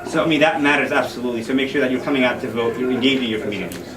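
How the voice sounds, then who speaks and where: Quick-talking man addressing a room. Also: medium pitch, a crowd in the background. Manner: conversational, repeats phrases for emphasis. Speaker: community speaker and event host. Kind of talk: informal political speech. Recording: distant mic in noisy echoey room.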